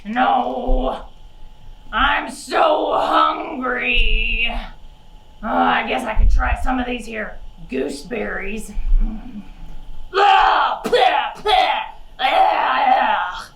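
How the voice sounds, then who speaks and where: A woman's voice: high-pitched, drawn-out words, creaky, a bit bright, shouting, exaggerated voice. A woman voicing a sly, hungry, mock-polite wolf with a folksy, melodramatic manner in a children's puppet show. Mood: grumbling, hungry, then disgusted, longing. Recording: roomy, distant microphone.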